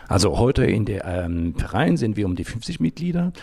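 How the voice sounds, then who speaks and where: Male interview guest, low mellow voice, hesitant searching delivery, dry close sound. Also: big pitch swings, vocal fry, no echo. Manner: halting, searching for words with frequent hesitations. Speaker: interview guest, environmental group founder. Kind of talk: unscripted interview answers.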